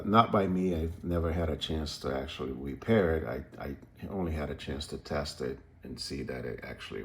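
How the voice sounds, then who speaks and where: A man giving a casual, unscripted show-and-tell as a hobbyist, hesitant and halting as he thinks aloud. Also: extremely low pitch, slight background noise. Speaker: radio hobbyist presenting a project.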